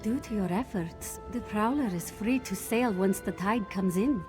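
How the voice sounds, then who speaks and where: A woman's voice: mid-range voice, moderate pace, lightly acted. An actress playing a composed, commanding female privateer captain in a fantasy video game. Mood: composed, businesslike. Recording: game music behind the voice.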